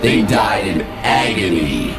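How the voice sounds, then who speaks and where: A man voicing an ominous, suffering alien captive in a video game, speaking gravely and portentously. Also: deep voice, animated, roomy sound, shouting, over loud music. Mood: bitter, intense. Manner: grave, slow, portentous delivery. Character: ominous, suffering alien prisoner, solemn prophetic mentor. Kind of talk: dramatic video game voice acting.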